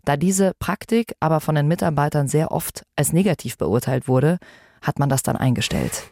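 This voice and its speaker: A woman's voice: low voice, animated, normal speed, mellow, slightly rough, dry recording. A woman presenting a podcast in a chatty, informal way, speaking directly to her listeners. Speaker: podcast host, radio presenter.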